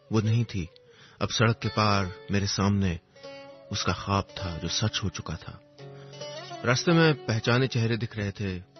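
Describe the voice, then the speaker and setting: Low-voiced male storyteller over music. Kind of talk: scripted first-person dramatic story narration. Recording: dull lo-fi audio under music. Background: instrumental music.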